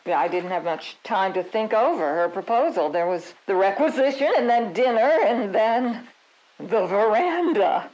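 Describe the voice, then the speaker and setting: A woman's voice: mid-range voice, lively intonation, unhurried, darkish tone, muffled recording, lightly acted. A woman playing a prim, chatty and evasive suburban committee member giving testimony in an audio drama, with an airy, slightly haughty formal manner. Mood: chatty, coy.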